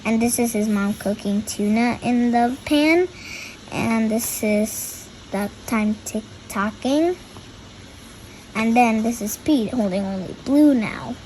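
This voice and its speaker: A young girl reads a picture book aloud in a high voice. She takes her time and stops to explain the pictures, with faint noise behind her. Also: slow, darkish tone, poor audio. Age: child.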